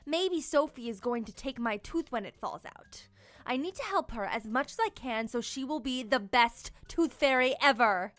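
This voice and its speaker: A young woman reading a children's picture book aloud in an expressive, playful way, as a storyteller for small kids. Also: high voice, dry recording. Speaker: storyteller and children's channel host. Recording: music behind the voice. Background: music.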